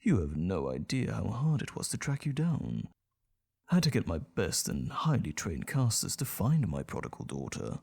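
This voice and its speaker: A man's voice: sits low, huge pitch swings, moderate pace, vocal fry, darkish tone, husky, heavily acted. A man playing a stern, domineering middle-aged elf patriarch in a fantasy audio drama, haughty, commanding and theatrical. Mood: indignant, scheming.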